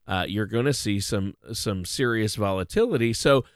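A man's voice: lowish voice, normal speed, no echo, studio quality. A man hosting a financial radio show in a conversational style.

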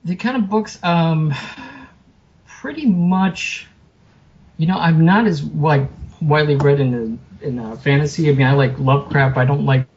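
A man's voice: on the high side, measured pace, a little mellow, highs cut off, a little hiss or hum. A man chatting casually as an interview guest, rambling and self-deprecating. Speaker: podcast guest, author and film producer.

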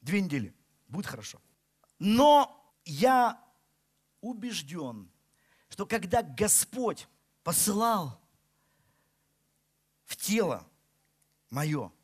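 High-voiced Russian preacher, emphatic. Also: male voice, very animated, very slow, pauses often, husky. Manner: emphatic, rising and falling delivery. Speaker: pastor. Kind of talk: sermon.

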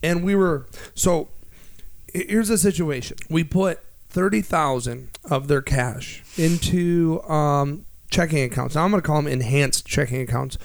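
A man co-hosting a finance talk show, hoarse from losing his voice, joking and rambling. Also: a little high, unhurried, no echo. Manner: joking, rambling, self-correcting. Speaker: radio show co-host. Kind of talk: casual banter.

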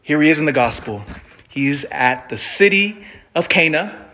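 Priest preaching, measured and emphatic man. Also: fairly high pitch, animated, sharp tone. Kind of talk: scripture reading and conversational homily.

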